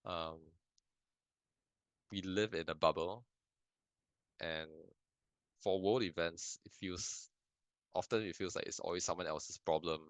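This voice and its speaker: Deep-voiced hesitant young man from Singapore. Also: steady tone, measured pace, pauses often, dry recording. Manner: hesitant, many restarts and fillers, thoughtful. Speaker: filmmaker guest. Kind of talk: casual interview answers. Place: lives in Canada.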